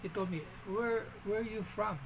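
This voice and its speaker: A man with a Filipino accent telling a story in a casual vlog, halting, with frequent restarts and fillers. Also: high-pitched, gravelly, background noise. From Philippines.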